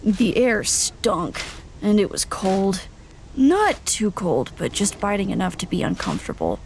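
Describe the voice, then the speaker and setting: Wary young narrator, slow, fry-edged, plain first-person audio drama delivery, faint noise. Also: female voice, mid-range voice, very animated, a little mellow. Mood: wary, recounting. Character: uneasy young wanderer recounting an ordeal.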